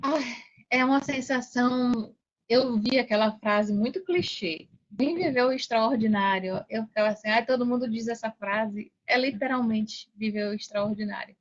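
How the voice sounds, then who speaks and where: A Brazilian woman in her forties with a fairly high voice speaks as a guest coach in a casual motivational chat. She is earnest and emphatic, repeating phrases for weight. The audio is a little dull. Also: moderate pace. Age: 40s. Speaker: podcast guest, affiliate marketing coach. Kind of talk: casual motivational interview talk.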